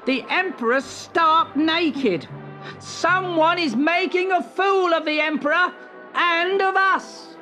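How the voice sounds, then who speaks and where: A woman's voice: very high voice, takes it slowly, pushed and bright, soft background music, voice pushed up, full character voice. A woman voicing indignant, exclaiming townsfolk in an emphatic storybook manner. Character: indignant townsfolk gawping at a naked emperor.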